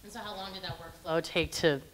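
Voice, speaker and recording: A woman's voice: fairly low pitch, brisk. A woman moderating a live panel discussion in an informal, encouraging way.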